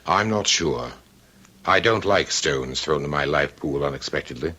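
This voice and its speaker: Pompous, low-voiced cultured uncle, swooping intonation. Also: male voice, expressive, normal speed, bright, forceful tone, husky, heavily acted. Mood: resigned, dry. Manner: pompous, precise, drily witty. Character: fussy, aloof, cultured wealthy bachelor uncle. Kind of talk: old-time radio drama acting.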